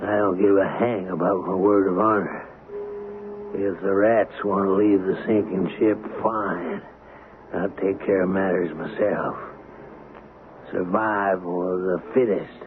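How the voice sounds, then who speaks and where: An actor playing a brash, ruthless Old West gambler in a radio drama, gravelly, mocking and blustering with a folksy drawl. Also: male voice, sits low, steady tone, a little slow. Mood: menacing. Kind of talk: 1970s radio drama acting. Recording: narrow-band vintage radio recording with faint hiss.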